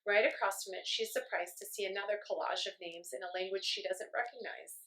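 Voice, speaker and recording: Gentle preschool teacher reading aloud. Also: female voice, medium pitch, poor audio. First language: Italian. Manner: slow, gentle, encouraging talk to children. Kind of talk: children's read-aloud.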